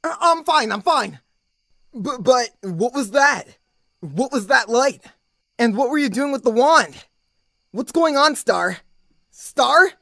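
A woman's voice: high-pitched, lively intonation, slow pace, gravelly, long pauses, sharp tone, full character voice. A woman voicing an earnest, awkward teenage boy, stammering and caring, in a cartoon comic dub. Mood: uneasy. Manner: stammering, reassuring. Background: background music.

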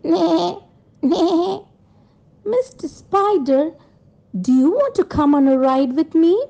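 A woman's voice: very high voice, animated, slow, creaky, dark tone, muffled recording, pitched above the performer's own voice, full character voice. A woman voicing a friendly horse that neighs invitingly in a children's story.

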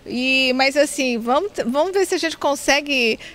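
A woman's voice: sits high, normal speed, a bit bright. A Brazilian woman who helped organize the event, talking earnestly into a handheld mic in a casual interview. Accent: Brazilian Portuguese. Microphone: handheld microphone.

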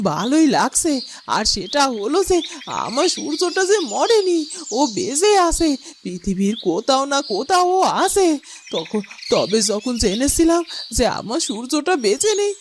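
Lonely fairytale mother, lamenting and pleading, very high animated voice, over noisy birdsong. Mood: wistful. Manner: plaintive, pleading, drawn-out lamenting. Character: grieving, lonely village mother pining for her lost son. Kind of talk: melodramatic Bengali fairytale cartoon acting.

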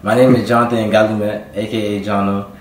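A man's voice: fairly low pitch, level tone, a little fast, roomy sound. A teenage boy from Miami introducing himself for an audition, rambling loosely. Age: teens. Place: living room with bookshelves.

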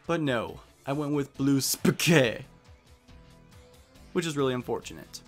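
A young man giving casual, animated commentary as the host of a video game let's play. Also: mid-range voice, unhurried, soft background music.

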